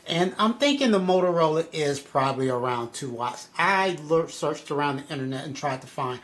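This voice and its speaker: A man's voice: on the high side, a bit bright. A man giving a casual product review in a conversational way, repeating points for emphasis.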